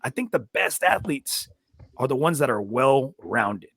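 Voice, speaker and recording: A man's voice: mid-range voice, big pitch swings, a little slow, gravelly, fairly bright tone, slightly rough, dry recording. A man in his forties, a guest and coach, talking bluntly and casually with some swearing. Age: 40s. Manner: blunt, unfiltered, swears freely. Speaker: podcast guest. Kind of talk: casual chat.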